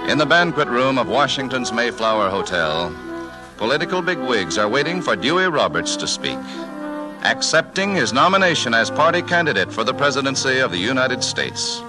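Animated old-time radio announcer, man. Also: medium pitch, normal speed. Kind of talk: 1940s radio announcing, scripted narration. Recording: old radio broadcast, loud music behind.

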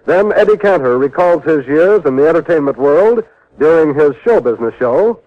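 Animated vintage male radio announcer. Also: a little high, moderate pace. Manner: theatrical, polished showman delivery. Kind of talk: 1950s radio announcing, dramatic scripted promotion. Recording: muffled vintage radio broadcast.

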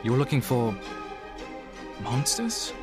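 Actor as wary male detective sergeant, haunted, lively pitch movement, audio drama with loud music. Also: slow. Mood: wary, skeptical. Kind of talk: audio drama trailer acting.

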